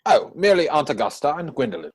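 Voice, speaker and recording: A man acting a witty, idle young gentleman from a period comedy. His voice is slightly husky and swings widely in pitch, with arch, playful self-satisfaction in a theatrical reading. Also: sing-song, quick pace. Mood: arch, teasing. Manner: mannered, arch, theatrical delivery.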